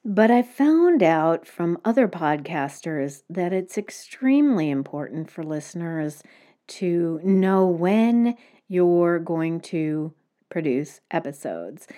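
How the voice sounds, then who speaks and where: Slow, lively-voiced woman podcaster. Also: fairly low pitch. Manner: explanatory, step-by-step advice. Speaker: podcast host. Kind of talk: solo podcast talk. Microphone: home microphone.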